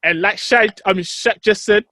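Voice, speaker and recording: Male co-host, notably high pitch, informal slangy banter with repeated phrases. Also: normal speed, sharp tone. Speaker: podcast co-host. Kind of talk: casual chat among friends.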